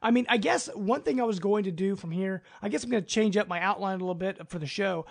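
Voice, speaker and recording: High-pitched, creaky-voiced man hosting. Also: fast. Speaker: podcast host. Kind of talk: casual discussion.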